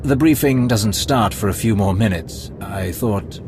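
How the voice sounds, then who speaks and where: A man's voice: fairly low pitch, background noise, close to an everyday voice. An actor playing a formal, deferential male Imperial spymaster in a game cutscene. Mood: reserved. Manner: formal, courteous, deliberate. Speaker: advisor. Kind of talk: video game cutscene acting. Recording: music score behind.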